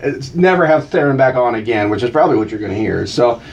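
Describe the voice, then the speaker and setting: A man joking with the host on a radio show, teasing and animated, reading out mock slogans. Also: medium pitch, fast talker, far from the mic, dull audio. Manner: teasing, animated, breaks into chanted cheers. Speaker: guest co-host. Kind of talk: playful radio banter.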